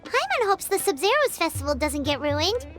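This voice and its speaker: A woman voicing a small, excitable, childlike female fairy companion with a squeaky voice in a video game. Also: moderate pace, music in the background. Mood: worried. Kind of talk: cartoonish video game acting.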